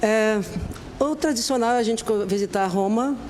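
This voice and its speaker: A woman answers interview questions in a measured, explanatory way. She spells things out slowly and patiently. Faint noise sits under her voice. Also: fairly high pitch, a little mellow. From Brazil. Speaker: honorary vice-consul, guest.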